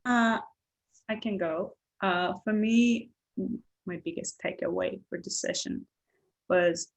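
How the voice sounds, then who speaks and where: Slow, creaky-voiced workshop participant. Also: medium pitch. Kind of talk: reflective, careful sharing.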